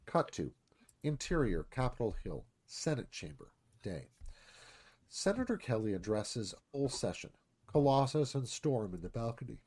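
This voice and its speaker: Animated man narrating a screenplay. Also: medium pitch, moderate pace. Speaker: narrator reading stage directions. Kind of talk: scripted screenplay narration, live read.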